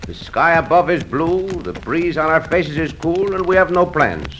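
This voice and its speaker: A man's voice: a little high, sing-song, moderate pace, a bit bright. A man playing a carefree, gallant Mexican cowboy adventurer in an old radio western. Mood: carefree, teasing. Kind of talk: 1940s-50s radio western acting. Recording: dull, noisy old radio recording.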